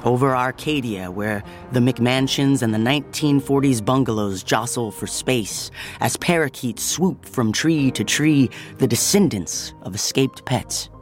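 A man playing a wistful narrator, reading literary audio drama narration in a calm, measured, lyrical way. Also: medium pitch, faint music behind, natural voice. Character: calm, wistful omniscient narrator of a city story.

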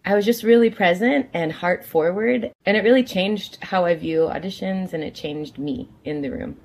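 A woman's voice: medium pitch, moderate pace, a little mellow. A woman from California, a guest actor telling her story in an earnest, reflective way.

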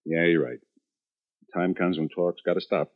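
An actor playing a feckless, good-hearted husband who jokes and rambles haltingly in a 1950s radio drama. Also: male voice, low voice, steady tone, close to an everyday voice. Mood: stung, insistent. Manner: halting, rambling, self-deprecating. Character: feckless, joking husband, proud but ashamed, good-hearted. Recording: dull 1950s radio recording.

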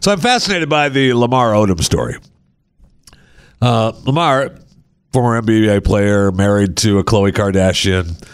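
A man hosting a talk show with a wry, deadpan way of telling a story. His pitch moves around a lot as he talks. The sound is close and dry, with faint music behind him. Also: clear, resonant tone, dry recording. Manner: wry, deadpan storyteller who walks through numbers slowly. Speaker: radio show host. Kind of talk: casual banter.

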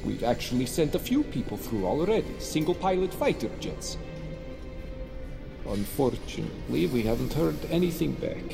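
A man's voice: medium pitch, big pitch swings, moderate pace, creaky, over music. A man playing a grandiose, smug professor who commands a secret project in an audio drama. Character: grandiose, coldly proud male Russian professor leading a secret launch.

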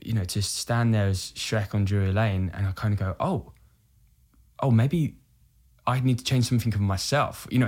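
A man's voice: fairly low pitch, darkish tone. A man in his thirties from the UK, an actor talking candidly and reflectively as an interview guest. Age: 30s. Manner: earnest, reflective, self-quoting. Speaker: musical theatre actor, podcast guest.

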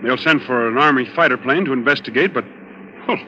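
A man's voice: mid-range voice, a little fast, bright, forceful tone, lightly acted. Man acting earnest young officer, noisy old radio. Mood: grim, worried. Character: anxious young male ship's officer, earnest and grim. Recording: dull, noisy old 1940s radio recording.

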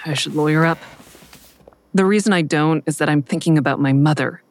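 A woman's voice: low voice, fairly quick, slight background noise. An actress playing a guarded, defiant woman who narrates her own crime story in a wry, sarcastic way. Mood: tense, introspective. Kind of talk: audio drama acting with first-person narration.

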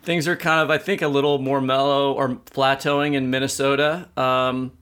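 A man's voice: medium pitch, flat delivery, moderate pace, a bit bright, smooth. An American man co-hosting a podcast, discussing things casually in an informal, opinionated way. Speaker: podcast co-host.

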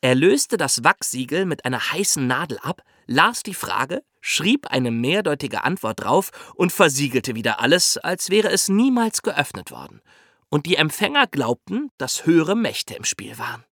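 Animated, bright-voiced man explaining history. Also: fairly high pitch, moderate pace, no echo, studio quality. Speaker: podcast host explaining history. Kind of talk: lively explanatory podcast dialogue, storytelling.

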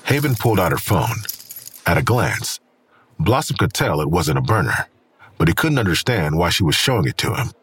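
A man's voice: low voice, very animated, normal speed, a bit bright, slightly rough. A man reading scripted third-person narration for an audio drama.

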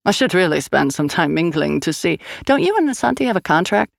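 A man voicing a flamboyant, mocking Scandinavian dealer with a sing-song accent and theatrical, drawn-out delivery. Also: fairly high pitch, big pitch swings, creaky, no room sound, clean studio sound, heavily acted. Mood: mock-wounded.

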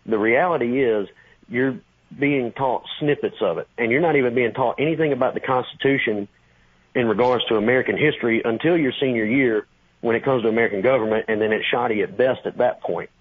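A man's voice: mid-range voice, brisk, slightly rough, no echo. A man in his twenties calling in to a radio show, a teacher talking casually with lots of fillers. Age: 20s. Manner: casual, rambling, many fillers. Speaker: caller, high school teacher. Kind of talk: phone-in conversation. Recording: tinny phone line.

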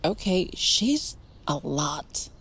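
Wry, skeptical, low-voiced woman, very animated. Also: very slow, husky, heavily acted. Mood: uneasy. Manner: wry, casual, hesitant. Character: skeptical, wry young woman investigating the occult. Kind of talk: naturalistic audio fiction acting.

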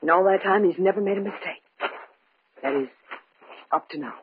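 A woman's voice: mid-range voice, normal speed, close to an everyday voice. An actress playing an anxious, pleading woman, worried and faltering, in old-time radio horror style. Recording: tinny, noisy vintage radio recording.

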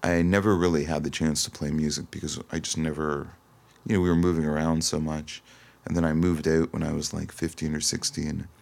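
Male interview guest, extremely low and gravelly, thoughtful and halting, conversational. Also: flat delivery. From Toronto, Canada. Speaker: singer-songwriter guest. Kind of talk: casual interview chat.

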